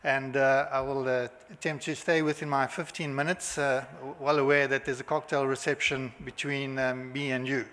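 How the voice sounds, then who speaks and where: A man with a South African accent giving a halting conference presentation, full of filler sounds and restarts. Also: mid-range voice, little pitch movement, a bit bright. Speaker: conference speaker. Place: hotel conference hall. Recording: long hall reverb.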